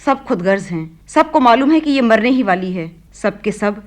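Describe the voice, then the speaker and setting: A woman's voice: mid-range voice, lively intonation, moderate pace, natural voice. An actress playing a bitter, despairing young girl in a Hindi radio play, curt and defiant. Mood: bitter, angry.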